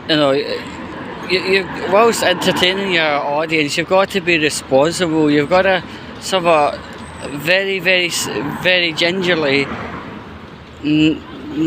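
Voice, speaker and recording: A man giving casual opinion commentary in a halting, rambling, disapproving way. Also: on the high side, background noise. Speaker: commentary YouTuber.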